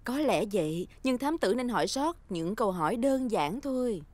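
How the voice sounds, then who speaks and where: Woman doing cartoon pig mum, calm n helpful, slightly high, close dry sound. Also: normal speed, no echo, natural voice. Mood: gentle. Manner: gently suggesting. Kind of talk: children's cartoon dub.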